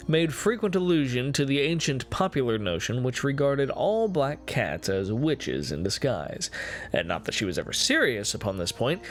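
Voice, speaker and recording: A man with a mid-pitched voice reads gothic horror fiction aloud as a dramatic narrator. His phrasing is measured and deliberate, broken up by pauses for effect. Faint music plays behind him. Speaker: amateur reader of a classic horror story. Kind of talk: dramatic reading of gothic first-person fiction.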